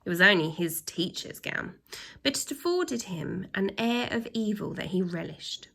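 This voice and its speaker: A woman reading a children's story aloud with dramatic emphasis, pausing to put questions to her young listeners. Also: medium pitch, expressive, vocal fry, pushed and bright. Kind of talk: expressive storytime narration with asides to children.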